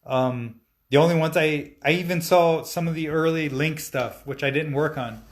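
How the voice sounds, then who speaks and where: A man in his forties hosts a casual live Q&A about his animation work. His voice sits a little high for a man. He talks in a relaxed, rambling way and often restarts his sentences. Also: normal speed. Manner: relaxed and chatty, with frequent fillers and restarts. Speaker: stop-motion animator answering live-stream questions. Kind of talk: casual live-stream Q&A, reading viewer comments aloud.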